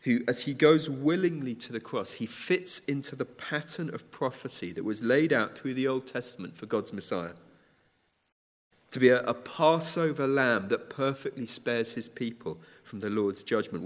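A man preaching a sermon in a church, measured and earnest, with occasional restarts. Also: medium pitch, lively intonation. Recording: church hall reverb.

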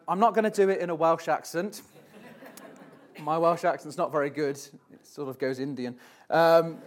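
A man filling in as preacher, giving a church sermon and reading scripture in a hesitant, self-deprecating way with many ums. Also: on the high side. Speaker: stand-in preacher. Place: church platform before a congregation.

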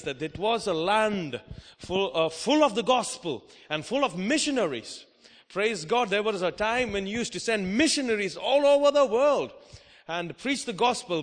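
A man from India preaching a lively sermon, peppering his talk with amens and hallelujahs and laughing often. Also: high-pitched. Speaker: guest preacher. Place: church hall. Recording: live in a church with long reverb.

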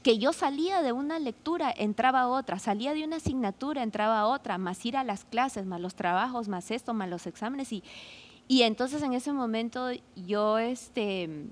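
A woman's voice: on the high side, moderate pace. A woman in her forties, a law student, talking through her experience in a casual podcast conversation, thoughtful and full of fillers. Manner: reflective, many fillers like "este" and "¿no?".

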